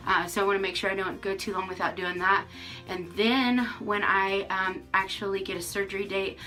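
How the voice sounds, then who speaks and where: A woman's voice: medium pitch, bright, forceful tone. A woman in her forties giving a casual, frank vlog update to camera from her rural home, with lots of ums and restarts. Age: 40s. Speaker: vlogger.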